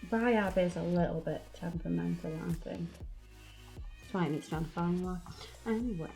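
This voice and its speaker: A woman's voice: fairly low pitch, moderate pace, gravelly, dark tone, faint music behind. Chatty woman, slightly bunged up. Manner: chatty, laughs a lot, local slang. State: bunged up from a cold. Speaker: nail technician. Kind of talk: casual tutorial chat.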